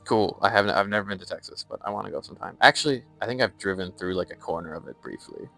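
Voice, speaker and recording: A man's voice: low-pitched, animated, fast talker, creaky, bright, forceful tone, soft background music. A young American man chatting casually on a live stream while playing a game and reading its lore aloud. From United States.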